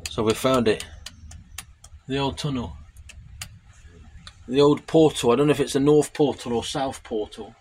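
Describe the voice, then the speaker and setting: Bloke doing an exploring vlog, chatty, bit of noise on the handheld mic. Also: male voice, medium pitch, normal speed, long pauses, a little mellow. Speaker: vlogger, urban explorer. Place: outdoors on streets and woods, later inside a tunnel. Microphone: handheld camera or phone at arm's length. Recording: noisy handheld recording on location.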